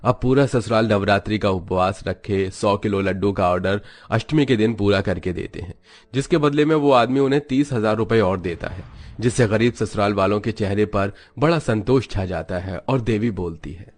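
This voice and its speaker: Low-pitched male story narrator. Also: normal speed. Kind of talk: scripted narration of moral story.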